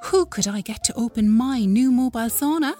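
A woman's voice: a little high, lively intonation, fairly quick, mellow, soft background music. A woman playing a catty, envious woman complaining about a rival in a comedy monologue. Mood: indignant.

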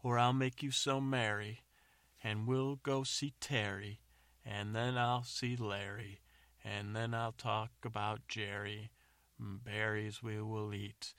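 A man voicing a hapless adventurer in a told story, earnestly reciting terrible love poetry in a halting, overwrought way. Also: lowish voice, flat delivery, fairly bright tone, dry recording. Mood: pleading, rhyming.

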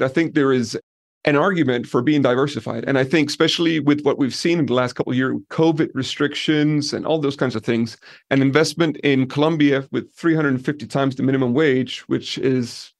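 A man from Denmark who runs a property management company, explaining in a relaxed, practical way with examples in a podcast interview. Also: medium pitch, creaky, no room sound.

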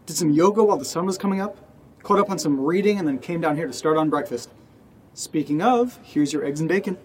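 A man's voice: fairly high pitch, fast talker, close to an everyday voice. An actor playing an eerily upbeat, childlike and erratic male housemate in naturalistic audio drama dialogue, chirpy and abruptly insistent. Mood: cheerfully insistent.

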